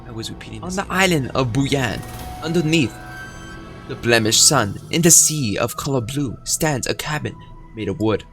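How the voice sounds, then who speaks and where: A man's voice: medium pitch, animated, normal speed, a bit bright, soft background music. Young man reading a horror story aloud. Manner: reads aloud with suspenseful emphasis. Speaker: gaming video creator. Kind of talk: dramatic reading of a horror visual novel.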